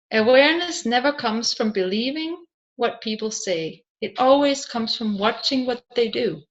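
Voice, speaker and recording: A woman reading a scripted audiobook in a measured, deliberate way, phrase by phrase. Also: a little high, fairly bright tone, lo-fi sound. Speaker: audiobook narrator.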